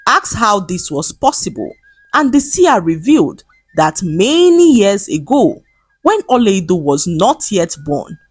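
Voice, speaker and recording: Expressive woman narrating folktales, slightly husky. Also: mid-range voice, dry recording. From Nigeria. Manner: dramatic, expressive storytelling. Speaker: storyteller.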